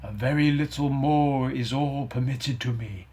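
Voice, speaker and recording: A man voicing the mournful ghost of a dead businessman who drags a chain and laments in a solemn, drawn-out wail. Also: mid-range voice, darkish tone, natural voice.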